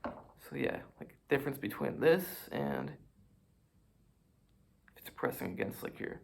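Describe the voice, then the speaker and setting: A man's voice: medium pitch, lively intonation, measured pace, gravelly, a little mellow. A man vlogging about fitness, talking to a camera in front of him in a rambling, self-mocking way as he shows off poses. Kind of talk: casual rambling monologue to camera.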